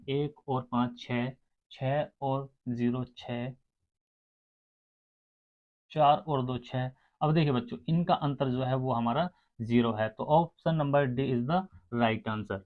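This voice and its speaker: A man teaching maths step by step, addressing his students directly and counting sums out aloud. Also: medium pitch. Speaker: maths teacher for exam aspirants. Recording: muffled, phone-like narrow sound.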